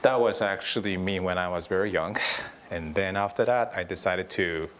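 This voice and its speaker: A man with a Japanese accent and a fairly low voice answers as an interviewed executive. His phrasing is loose and informal, in a conversational style. There is some ambient noise behind him. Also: moderate pace, fairly bright tone. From Tokyo, Japan. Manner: informal, loosely phrased with repeated "actually" and "you know". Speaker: company founder and CEO being interviewed.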